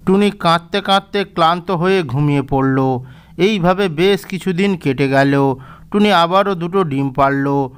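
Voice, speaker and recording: A man narrating a cartoon fairy tale in a measured, gently didactic storybook manner. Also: high-pitched, smooth.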